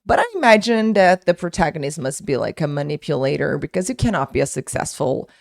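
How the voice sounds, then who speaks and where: A woman's voice: low-pitched, lively intonation, a little fast, gravelly. A young woman whose first language is Portuguese, teaching English in a casual online lesson, talking in a chatty way with many fillers and restarts.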